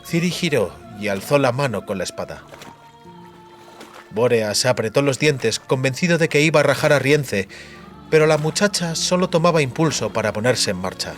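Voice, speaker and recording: A man's voice: medium pitch, animated, moderate pace, faint music behind. A man narrating fantasy fiction as a dramatic, scripted audiobook.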